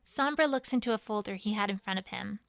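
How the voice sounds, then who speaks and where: A woman's voice: medium pitch, fast, gravelly, a bit bright, no echo, studio quality. A woman reading fan fiction as first-person story narration, in character as a proud pony queen.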